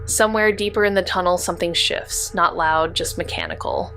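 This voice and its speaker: A woman playing a tense, observant investigator who narrates a noir mystery drama in a measured, descriptive way. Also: fairly low pitch, bright, forceful tone, soft background music. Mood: wary, tense.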